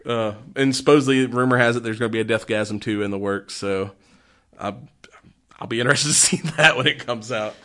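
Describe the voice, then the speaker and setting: A man's voice: mid-range voice, fairly quick, a bit bright. A man co-hosting a horror film podcast in casual chat, joking and often laughing as he talks. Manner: jokey, laughs often while talking. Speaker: podcast co-host reviewing horror films.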